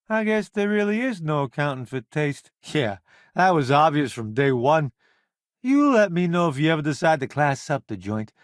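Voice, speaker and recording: A man's voice: on the high side, no room sound, clean studio sound. An actor playing a jaded, showy middle-aged food critic turned hired space crewman in a video game, with wry, flippant, slangy delivery. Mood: wry, candid. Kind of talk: video game companion dialogue.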